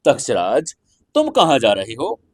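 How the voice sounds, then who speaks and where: A man's voice: mid-range voice, huge pitch swings, a little slow, husky, no echo, clean studio sound, exaggerated voice. An Indian man voicing a cold, mocking martial-arts hero in an audio story, taunting and menacing.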